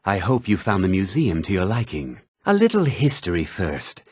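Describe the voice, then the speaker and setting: An actor playing an obsessed, earnest museum keeper with cult roots in a fantasy video game, in a theatrical, rough-edged voice. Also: male voice, low voice, huge pitch swings, normal speed, dry recording, studio quality, full character voice. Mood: businesslike, eager. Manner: theatrical, earnest, swinging delivery. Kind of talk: scripted video game dialogue.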